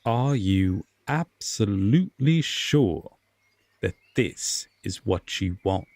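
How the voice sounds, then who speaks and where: A man playing a solemn, regretful Fae king with hidden tenderness, speaking formally and with measured pauses in an audio roleplay drama. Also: fairly low pitch, expressive, creaky, darkish tone, no room sound, exaggerated voice. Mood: sorrowful, resigned. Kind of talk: slow audio roleplay drama addressed to the listener.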